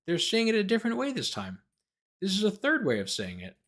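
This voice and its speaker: Sardonic male commentator with lively, swinging pitch. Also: fairly quick. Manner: sardonic asides, breaks off reading to quip. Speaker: host, lawyer commentator. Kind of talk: reading a legal document aloud with off-the-cuff commentary.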